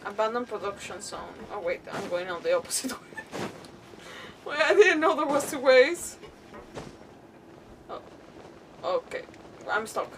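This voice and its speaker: A woman's voice: on the high side, expressive, measured pace, pauses often, distant mic, noisy background. A woman livestreaming a video game with casual, playful commentary, often muttering to herself and breaking off mid-thought. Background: game music.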